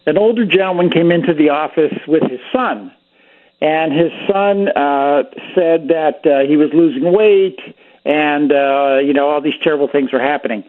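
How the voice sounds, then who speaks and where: A man's voice: on the high side, moderate pace. A man from Pennsylvania, a doctor calling into a radio show, easygoing and chatty as he tells stories. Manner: easygoing storyteller, relaxed and chatty. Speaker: physician guest. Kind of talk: casual radio chat. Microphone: phone call. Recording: tinny phone line.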